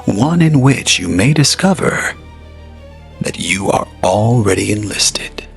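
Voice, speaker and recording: A man's voice: fairly low pitch, animated, slow, vocal fry, soft background music. A man narrating an audio drama as its host, in a theatrical manner and speaking straight to the listener. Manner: theatrical, addresses the listener directly.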